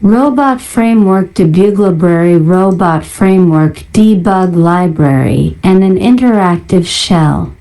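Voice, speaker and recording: A woman narrator doing a deliberate ASMR-style read-out of listed items in a flat manner. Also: fairly low pitch, rough recording. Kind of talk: ASMR reading of a list of software libraries.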